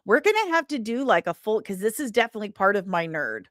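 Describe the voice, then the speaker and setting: Animated woman chatting on a livestream. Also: mid-range voice, quick pace, dry recording, studio quality. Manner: animated, rambling, self-deprecating. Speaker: livestream host. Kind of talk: casual livestream chat.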